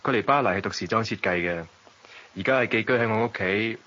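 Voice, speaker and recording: Suave Hong Kong gentleman, muffled audio. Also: on the low side, fast. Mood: courteous, casual. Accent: Hong Kong Cantonese. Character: suave worldly Hong Kong gentleman in Paris, self-possessed. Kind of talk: radio drama narration and dialogue. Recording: dull lo-fi audio, noisy background.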